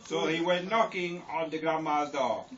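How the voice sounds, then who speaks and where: A man's voice: fairly high pitch, normal speed, fairly bright tone. A man telling an improvised comic story in a rambling way, giggling at his own jokes. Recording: distant lo-fi home recording with hiss.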